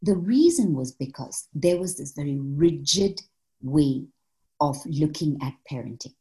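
A woman from Malaysia, a social worker and mother, answering interview questions in a reflective, measured way with frequent fillers. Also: sits low, lively intonation, dark tone.

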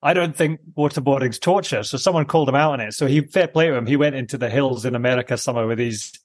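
A man around fifty with a husky voice, chatting casually in a musing, opinionated way and often interrupting himself. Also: medium pitch, fast talker. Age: late 40s to early 50s. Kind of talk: casual conversation.

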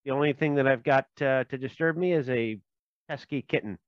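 A man from Minnesota, a game designer, talks through his ideas in a halting, joking way, full of fillers and restarts. His voice sits at a middling pitch. The audio is slightly dull and lo-fi, with a dry, close sound. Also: no echo. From Minnesota, USA. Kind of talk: casual interview chat. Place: home.

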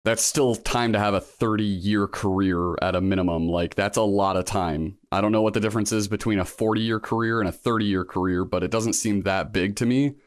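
A man's voice: on the low side, steady tone, normal speed, hardly any pauses, no echo. A man in his thirties, a guest in a casual interview, talking in a reflective, rambling way with many fillers and restarts. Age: 30s. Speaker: guest, law school applicant.

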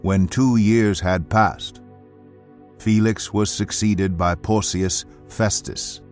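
A man narrating scripture as an audiobook, with a measured, solemn and emphatic dramatic delivery. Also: on the low side, steady tone, a little mellow, soft background music. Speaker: Bible narrator.

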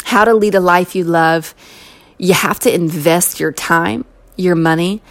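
A woman's voice: lowish voice, steady tone, measured pace. Encouraging American woman speaker. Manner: conversational, encouraging, emphatic repetition. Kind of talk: inspirational talk to an audience.

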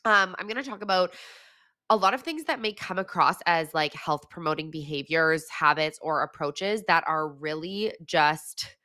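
A young woman hosting a podcast, chatting frankly with fillers, her voice raspy and congested from a cold. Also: low voice, moderate pace, sharp tone. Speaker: podcast host. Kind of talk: casual solo podcast talk; scripted intro over music.

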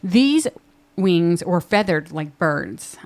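A woman recaps book chapters on a podcast, chatty and quick to giggle. Her voice sits on the low side, deeper than most women's. She tends to trail off mid-thought before picking the story back up. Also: very animated. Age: 30s. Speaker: podcast co-host. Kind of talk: casual book-club chat, recapping chapters.

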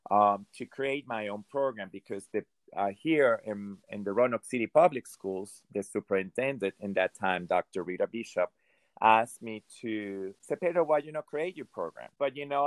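A middle-aged man with a Venezuelan accent, a ballet director speaking in an interview, halting and repeating himself, with many "you know"s. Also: lowish voice, dry recording, low-quality recording. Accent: Venezuelan Spanish. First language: Hungarian. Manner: halting, repeats words and restarts, says "you know" often. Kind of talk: conversational interview.

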